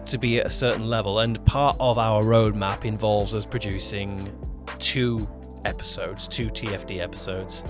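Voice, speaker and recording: A man's voice: lowish voice, fairly even intonation, moderate pace, few pauses, music in the background. A man co-hosting a podcast in casual conversation, talking in a rambling way and often correcting himself mid-sentence. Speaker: podcast co-host and producer. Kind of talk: casual chat about a production.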